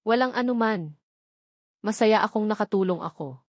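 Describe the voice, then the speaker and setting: A woman's voice: medium pitch, normal speed, no echo, close to an everyday voice. Romantic male lead read by a woman, Filipino accent, earnest and hesitant, audiobook drama, dry sound. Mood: warm. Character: serious, hesitant young man, gently concerned romantic lead. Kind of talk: audiobook character dialogue.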